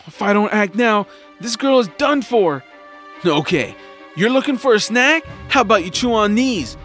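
An earnest, wisecracking young hero, acted by a man with a slightly high voice. He thinks aloud in a jittery, anxious way, with music clearly behind him in an audio drama. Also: lively intonation, normal speed, fairly bright tone. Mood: urgent, anxious.

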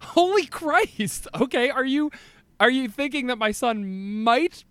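Man, podcast host, high pitch, lively swings, joking casual banter. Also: slow pace, smooth, dry recording.

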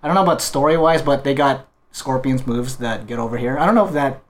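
A man's voice: medium pitch, quick pace. A man talking casually and conversationally as a panelist in a group discussion. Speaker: podcast panelist. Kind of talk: casual chat.

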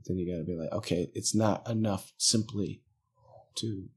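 A man's voice: low-pitched, fairly even intonation, moderate pace, darkish tone, slightly rough. A man talking casually in a conversation, laid-back and joking, working through his ideas aloud with hesitations.

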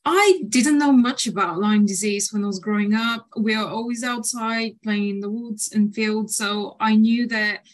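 Soft-spoken woman from Bulgaria, measured. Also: medium pitch. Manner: measured, frequent um fillers. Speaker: guest, nutritional therapist. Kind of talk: interview answers.

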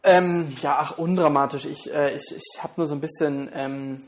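A man's voice: on the high side, fairly even intonation, moderate pace. A young man co-hosting a podcast with a stuffy cold, rambling self-consciously with many fillers. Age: young adult. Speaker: podcast co-host. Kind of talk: casual chat.

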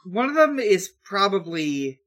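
A man's voice: high voice, sing-song, measured pace, fairly bright tone. A man co-hosting a podcast in casual chat, hesitant and often thinking aloud. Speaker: podcast co-host.

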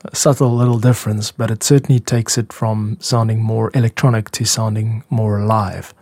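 Husky low-voiced man hosting a podcast. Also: level tone, normal speed, darkish tone. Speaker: podcast host and music producer. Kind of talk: explanatory podcast narration.

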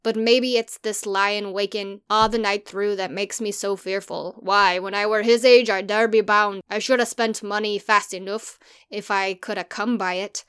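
A woman's voice: medium pitch, steady tone, fairly bright tone, smooth, voice pushed up, natural voice. A woman voicing a worried old farmer. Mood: brooding. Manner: slow, earnest, broad dialect.

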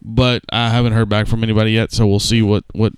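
A man with a very clear, smooth voice in a dry, close recording. He talks as a podcast co-host, casual and jokey, swearing freely. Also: on the low side, flat delivery, quick pace, dry recording. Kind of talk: casual comedic banter, profane.

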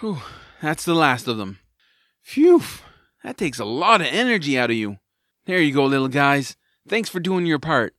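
A man playing an excitable, kind-hearted young boy hero in a family audio drama, with an earnest, playful delivery. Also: fairly high pitch, moderate pace, dry recording, studio quality. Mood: relieved.

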